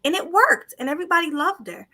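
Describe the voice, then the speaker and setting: A soft-spoken woman hosting a casual podcast chat, candid and lively as she tells a story. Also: very high-pitched, quick pace. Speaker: podcast host.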